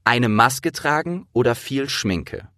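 A man's voice: medium pitch, lively intonation, takes it slowly, bright, forceful tone, dry recording, clean studio sound. A man chatting casually as an interviewer, with a trained voice actor's delivery. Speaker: interviewer and host.

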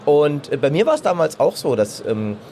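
A man's voice: on the high side, sing-song, normal speed, mellow, slight background noise. A man in his thirties chatting casually and playfully as a games journalist on a trade fair floor, with many fillers and restarts. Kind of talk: casual chat.

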